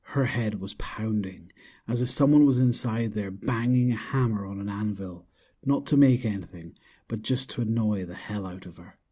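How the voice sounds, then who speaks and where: A man's voice: on the low side, moderate pace, mellow, breathy edge. A man reading scripted narration for a horror audio drama.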